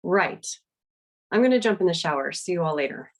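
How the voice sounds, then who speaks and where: Guarded wife and mother, read by a woman at a table read. Clipped lines, lively pitch. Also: fairly quick. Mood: awkward. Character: tense wealthy wife and mother.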